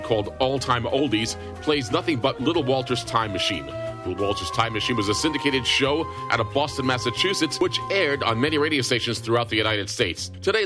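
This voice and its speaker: Brisk male radio talk-show host. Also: medium pitch. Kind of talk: conversational talk and show introduction.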